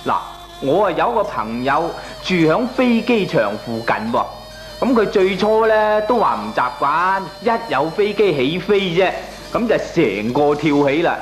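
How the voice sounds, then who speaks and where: An actor playing a shameless, glib dog owner in a radio comedy drama, arguing back with a Hong Kong Cantonese accent. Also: male voice, a little high, big pitch swings, a little slow, soft background music. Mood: glib, jovial. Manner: glib, chuckling, argues back with lame excuses. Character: shameless, jovial dog-owning male neighbour brushing off complaints.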